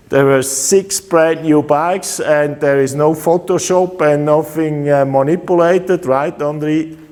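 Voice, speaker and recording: A man with a Swiss German accent, a custom motorcycle builder giving a casual walkaround of his bikes, relaxed and halting with many fillers. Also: fairly high pitch, big-room sound.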